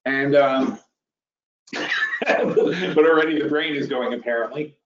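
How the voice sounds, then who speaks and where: A man's voice: mid-range voice, slow, a bit bright. Guy mid 50s explaining stuff on a webinar, blunt, repeats himself, kinda dull lo-fi audio w lots of room echo. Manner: emphatic, blunt, repeats phrases for emphasis; thinks aloud, asks rhetorical questions. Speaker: webinar host and trading educator. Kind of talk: informal explanatory financial lecture.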